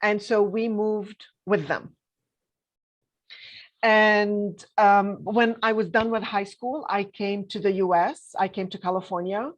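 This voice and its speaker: A woman in her fifties, born in Lebanon with Arabic as her first language, telling her life story as a podcast guest in a reflective way, with many pauses and self-corrections. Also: mid-range voice, muffled recording. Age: 50s.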